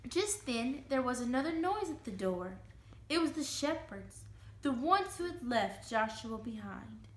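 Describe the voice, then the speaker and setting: A woman with a high voice narrates a children's story as a dramatic reading. Her delivery is expressive, and the room adds noticeable echo around her words. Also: unhurried. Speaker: reader giving a dramatic reading. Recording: roomy, echoing room sound.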